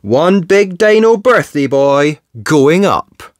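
A storybook reader voicing an eager, excited young rescue pup. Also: mid-range voice, slow, no echo, studio quality, voice pushed up.

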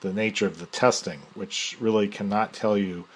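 A man from the United States, hosting a show, explaining medical terms in a relaxed, hesitant way with many fillers. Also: lowish voice, normal speed, husky, rough recording. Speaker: podcast host and commentator. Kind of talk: explanatory commentary.

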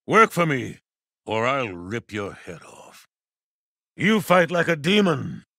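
Forceful, grim undead antihero. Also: male voice, medium pitch, big pitch swings, takes it slowly, creaky, dry recording, clean studio sound, full character voice. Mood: threatening. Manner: threatening, intense.

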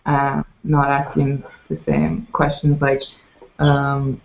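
A woman's voice: very deep voice, expressive, slow pace. Giggly woman co-hosting a video call. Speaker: co-host, interviewer. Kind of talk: casual video-call interview. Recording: muffled video-call audio.

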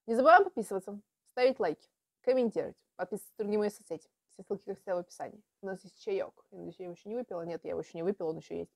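A woman's voice: mid-range voice, racing, creaky, no room sound. A young adult woman chatting casually as she hosts a reaction video at home. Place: small room at home with bookshelves.

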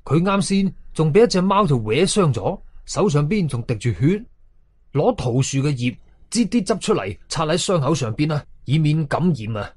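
A man's voice: a little high, big pitch swings, normal speed, darkish tone, no room sound. A man with a Hong Kong Cantonese accent telling a horror story in a suspenseful, colloquial, dramatic style. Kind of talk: dramatic scripted horror narration.

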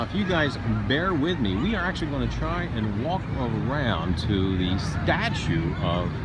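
A man hosting an informal walking history tour outdoors, talking to viewers in a folksy, hesitant, rambling storytelling way. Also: medium pitch. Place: outdoors on city streets and park lawn. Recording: noisy outdoor street with traffic. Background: street traffic and outdoor city ambience.

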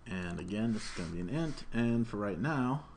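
Male programming instructor, fairly low voice, informal step-by-step talk, slightly noisy desk mic with keyboard sounds. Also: expressive, fairly quick, darkish tone. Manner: informal step-by-step narration of own actions. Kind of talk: live coding tutorial, thinking aloud. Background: keyboard typing, room noise.

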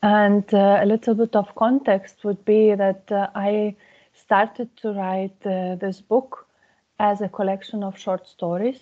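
A middle-aged woman with a Lithuanian accent, speaking English as a second language as a writer on a video call from home, pausing often with fillers. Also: medium pitch, level tone. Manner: halting, many filler pauses. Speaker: guest writer. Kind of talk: conversational interview over video call. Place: home room. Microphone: video call with earphone mic. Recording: slightly dull video call audio.

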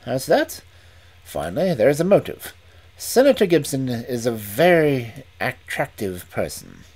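Reader voicing a cool Victorian detective, deliberate, varied intonation. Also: medium pitch, sing-song, a little mellow. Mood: reasoning. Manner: measured, deliberate, reasoning aloud. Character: calm, incisive Victorian male detective. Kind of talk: audiobook reading.

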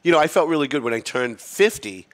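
A man's voice: a little high, animated, fast. A man in his fifties hosting a studio podcast, chatting casually and rambling with a self-mocking streak. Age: 50s. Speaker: podcast host. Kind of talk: casual interview chat. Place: TV-style studio set.

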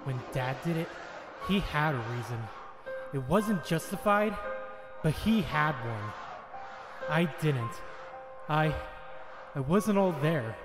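A man's voice: on the high side, expressive, slow pace, long pauses, music in the background. A man voicing a guilt-ridden young goat monster son in a comic dub, impassioned and confessional. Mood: guilty confession. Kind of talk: amateur comic dub acting.

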